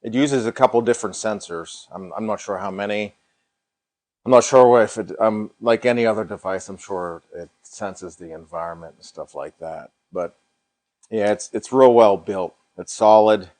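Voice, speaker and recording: This is a middle-aged American man with a fairly low voice. He hosts a casual livestream, showing off a product as he talks. His manner is folksy, and he tends to circle back and repeat his points. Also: normal speed, a little mellow. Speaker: host of a paranormal livestream.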